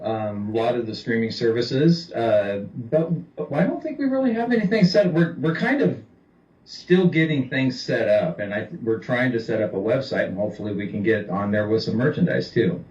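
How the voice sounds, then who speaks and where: A man's voice: medium pitch, expressive, normal speed, a little mellow. A man, a musician guest, answering questions over a remote call with polite, hesitant replies and easy laughter. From United States. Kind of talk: remote interview chat. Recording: roomy, distant, dull remote line.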